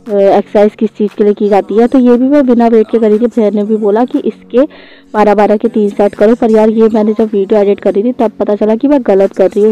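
A woman's voice: medium pitch, warm tone, poor audio. A woman, giving a casual, chatty voice-over for a daily vlog. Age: 20s. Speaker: vlogger. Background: faint music.

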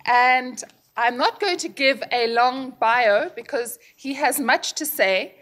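A woman's voice: high voice, slow, fairly bright tone. A woman hosting a conference, introducing the next speaker in a friendly, conversational way at a podium mic. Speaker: conference host. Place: conference room with seated audience.

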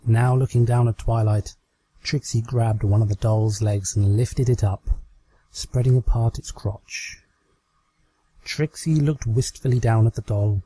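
A man's voice: mid-range voice, steady tone, a little slow, dark tone, slightly rough, low-quality recording. A man reading scripted fan fiction aloud as an amateur narrator. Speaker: amateur story reader.

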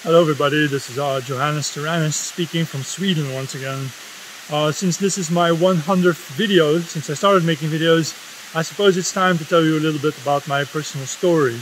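A man's voice: fairly high pitch, fairly quick, fairly bright tone, slight background noise, rough recording. A man in his fifties from the Netherlands, with Dutch as his first language, giving a solemn, impassioned spoken essay outdoors in a forest. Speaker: video essay host. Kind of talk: earnest spoken essay to camera.

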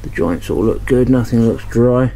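A man with a mellow, dark voice talks through his work in a cluttered home workshop. He thinks aloud, hesitant, with many ums and restarts. A faint hum of room ambience sits behind him. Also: mid-range voice, normal speed, poor audio. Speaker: radio restorer. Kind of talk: casual hands-on narration.

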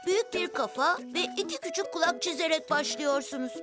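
Very high-pitched cartoon boy helper. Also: expressive, fairly quick. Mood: cheerful, instructive. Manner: clear, simple instructional phrasing. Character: upbeat, helpful young male cartoon drawing teacher. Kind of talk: preschool cartoon dub, step-by-step instruction. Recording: loud music behind the voice.

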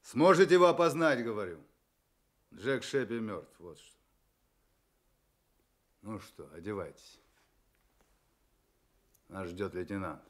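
A man's voice: on the low side, slow, long pauses. An actor playing an impatient, commanding male police sergeant in a radio drama. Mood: curt, insistent. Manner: curt, commanding. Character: curt, pushy police sergeant, adult man.

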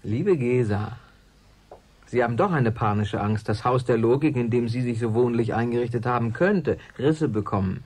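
An earnest man in a radio play, agitated and pleading. His voice sits at a middle pitch with a husky grain. He speaks urgently and haltingly, like someone defending a person he trusts. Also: darkish tone. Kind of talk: German radio play acting.

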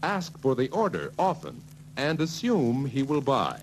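A man's voice: medium pitch, huge pitch swings, measured pace. A man narrating a 1940s sales training film in a scripted storytelling style. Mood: brisk storytelling. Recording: noisy, humming 1940s film soundtrack.

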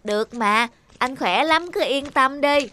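A woman's voice: high voice, unhurried, pushed and bright. A woman voicing a devoted, protective young big brother in a children's cartoon, with an earnest, reassuring manner. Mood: determined, caring.

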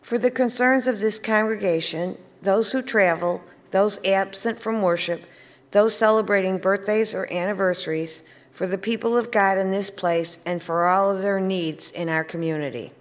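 A woman serving as lay reader at the lectern of a large church sanctuary, reading intercessory prayers at a clear, even pace. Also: mid-range voice, normal speed. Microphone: lectern mic, far camera. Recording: long church reverb.

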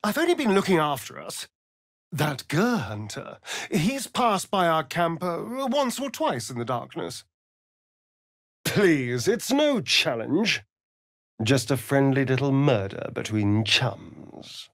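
A sly vampire rogue for a fantasy video game, British and flamboyantly camp. He drawls slowly and teasingly, his pitch swooping theatrically from line to line. The recording is dry and close, with a sardonic, arch delivery throughout. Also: sing-song, a bit bright, no room sound, heavily acted. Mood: playful, sly.

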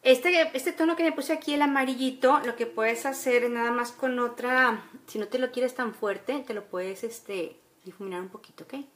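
High-voiced Mexican woman giving makeup tips. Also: normal speed, hardly any pauses, a bit bright. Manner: instructional, chatty, with frequent checking tags. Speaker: makeup tutorial presenter. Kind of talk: casual makeup tutorial, talking to viewers. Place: room at home by a window, indoors.

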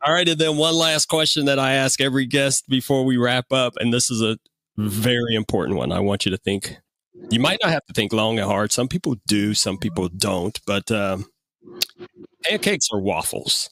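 A man hosts a podcast in a casual, jokey style, prone to chuckling. His pitch sits in the middle of the male range. The sound is close and dry, with very little room. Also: moderate pace, a bit bright, dry recording. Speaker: podcast host. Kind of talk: casual interview chat.

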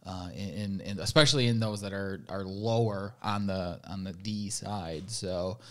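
A man's voice: low-pitched, a little slow. A man in his thirties co-hosting a veterinary podcast, explaining his points reflectively with frequent restarts. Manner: gestures while talking. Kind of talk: casual podcast discussion. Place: home room, indoors. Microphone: studio mic on boom arm, headphones.

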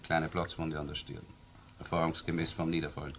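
An actor playing an assured, clinical police doctor in a crime radio play, explaining findings precisely in German. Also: male voice, extremely low pitch, level tone, fairly quick, fairly bright tone. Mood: clinical, explanatory. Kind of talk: German-language crime radio drama acting. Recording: noisy old radio play recording.

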